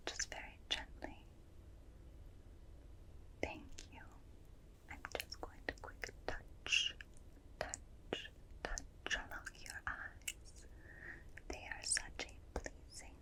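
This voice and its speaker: A woman voicing a gentle, curious alien examiner in a breathy whisper, slow and soothing, in an ASMR roleplay. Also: low-quality recording. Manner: slow, soothing, softly clinical, repeats phrases. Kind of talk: ASMR roleplay, personal attention.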